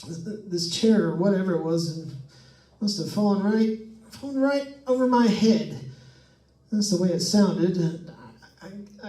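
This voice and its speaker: A man's voice: sits high, mellow. A man playing a nervous, chatty radio host alone in a haunted house, stammering and rambling in an old-time radio suspense style. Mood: jittery. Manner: stammering, jittery, rambling with forced cheer. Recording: echoey room, distant microphone.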